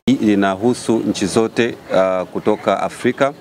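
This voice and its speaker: A man's voice: on the low side, moderate pace, a little mellow, a little hiss or hum. A man with a Tanzanian accent, speaking as an event organiser addressing a press conference.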